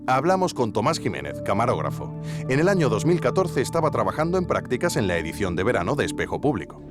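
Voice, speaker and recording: An actor playing an earnest investigative podcast narrator with a Spanish accent from Spain, theatrical, suspenseful and tongue-in-cheek. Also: male voice, mid-range voice, very animated, fast talker, music in the background, natural voice. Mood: earnest, wry. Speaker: host. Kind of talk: scripted documentary narration.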